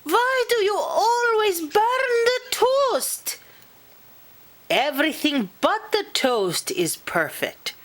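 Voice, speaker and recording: A woman's voice: very high voice, very animated, slow pace, fairly bright tone, pitched above the performer's own voice, exaggerated voice. A woman voicing a prim, exacting little girl fairy who scolds and fusses in a children's storybook. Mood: complaining. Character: fussy, careful little girl fairy, bossy perfectionist.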